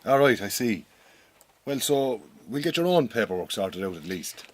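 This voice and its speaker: An actor playing an awkward, well-meaning Irish farm boss in a radio drama, with an Irish accent and a blunt but stumbling way of talking. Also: male voice, medium pitch, very animated, normal speed, no echo. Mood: puzzled.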